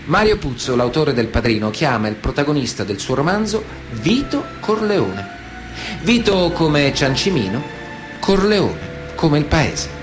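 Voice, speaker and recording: An actor playing a stern, ironic chronicler, narrating a radio drama in clipped phrases with dramatic pauses. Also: male voice, fairly high pitch, big pitch swings, music in the background. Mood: grave, declamatory.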